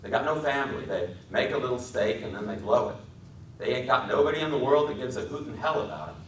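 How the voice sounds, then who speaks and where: A man playing a weary, gruff, protective working man with terse, rough folksy speech in a dramatic reading. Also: mid-range voice, lively intonation, fast talker, fairly bright tone, pitched above the performer's own voice, natural voice. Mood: weary, gentle. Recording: roomy echoing hall, distant mic, faint noise.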